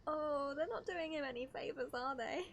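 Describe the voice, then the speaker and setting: A woman's voice: sits high, normal speed, gravelly, slight background noise. A woman streamer casually reacting to a game in a playful, teasing, giggly manner. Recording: game music behind. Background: game soundtrack music.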